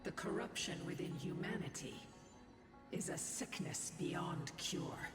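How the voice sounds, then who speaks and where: An actor playing a cryptic, ominous narrator who passes judgment on humanity in a formal, portentous game voice. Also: male voice, a little high, animated, unhurried. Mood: ominous, solemn. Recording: distant, echoing game audio over music.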